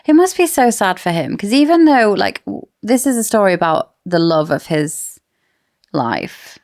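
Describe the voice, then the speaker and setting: Woman podcast cohost chatting about books, gravelly fry in her voice, really dry close sound. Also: mid-range voice, big pitch swings, normal speed, a little mellow, dry recording. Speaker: podcast co-host. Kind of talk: casual book discussion chat.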